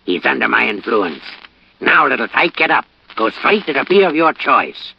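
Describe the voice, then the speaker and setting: A coaxing hypnotist in a vintage comic radio ad. His voice is creaky and commanding. The recording is dull with faint noise. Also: medium pitch, sing-song, moderate pace. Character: soothing male hypnotist in a comic ad. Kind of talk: radio commercial acting.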